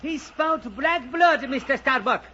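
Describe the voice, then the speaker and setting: A whaling harpooner, calling out in broken English with a very high, sharp voice. His delivery is slow, on a dull old radio recording. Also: slight background noise, exaggerated voice. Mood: intent. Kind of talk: old-time radio drama acting.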